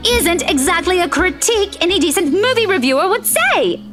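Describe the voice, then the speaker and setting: A woman's voice: very high-pitched, sing-song, a little fast. An actress playing a fiery, indignant young Scottish woman in a visual novel game, scolding on behalf of her friend in local slang. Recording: game music under the voice.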